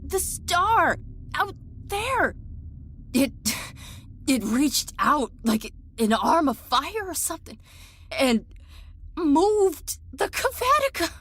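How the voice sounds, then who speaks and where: An actress playing an injured, bitter enemy soldier in an audio drama, defiant but rattled, halting and sardonic. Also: female voice, sits high, very animated, fairly bright tone, exaggerated voice. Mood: shaken, incredulous.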